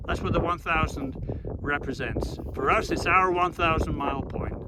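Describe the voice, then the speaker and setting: Older man, high voice, loud outdoor wind. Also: normal speed. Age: 60s. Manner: jokey, laughs often, stumbles and repeats words. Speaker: trail vlogger hosting fellow hikers. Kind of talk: casual chat. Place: outdoors on a hiking trail.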